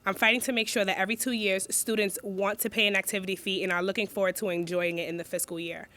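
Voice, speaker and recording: A woman's voice: mid-range voice, flat delivery, fast, pushed and bright. A young woman student giving an earnest, read campaign speech as a candidate, stopping to redo a line when she slips. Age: young adult.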